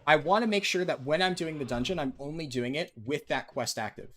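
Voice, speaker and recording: A man's voice: fairly high pitch, brisk, fairly bright tone, a little hiss or hum. A man live-streaming a game, chatting with viewers in a casual way with lots of ums and likes. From United States. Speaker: livestreaming gamer.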